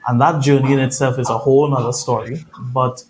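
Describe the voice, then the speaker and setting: A man's voice: mid-range voice, steady tone, brisk, a crowd in the background, poor audio. A man from Trinidad with a Trinidadian accent, a podcast guest telling his story in an earnest, reflective way. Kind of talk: conversational interview storytelling.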